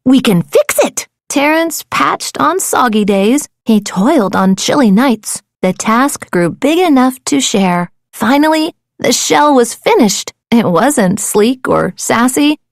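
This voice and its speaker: A woman narrating a children's storybook in a dramatic, playful and deliberate way. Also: fairly high pitch, expressive, gravelly, dry recording, clean studio sound. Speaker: audiobook narrator.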